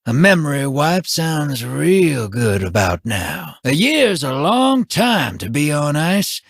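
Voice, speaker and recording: An actor voicing a wily, scruffy male gambler in a video game, drawling folksy wisecracks in a husky voice. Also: on the high side, big pitch swings, slow, dry recording, clean studio sound, exaggerated voice. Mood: sly.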